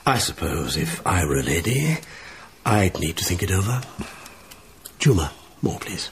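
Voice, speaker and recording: An actor in a radio drama playing a wry, urbane gentleman who questions his friends with teasing deliberation. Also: low-pitched, big pitch swings, unhurried, slight background noise, heavily acted. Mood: wry, probing.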